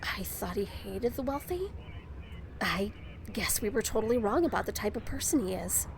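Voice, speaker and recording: A woman's voice: mid-range voice, expressive, moderate pace, gravelly, noisy background. A young woman playing a feisty, emotional younger sister in an audio drama. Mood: relieved.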